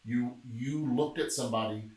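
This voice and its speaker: A middle-aged man from Memphis, Tennessee, talking casually as a podcast guest and telling stories with a joking, relaxed manner. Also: mid-range voice, normal speed. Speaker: podcast guest, film producer. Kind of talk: casual interview chat. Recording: echoey room, distant mic.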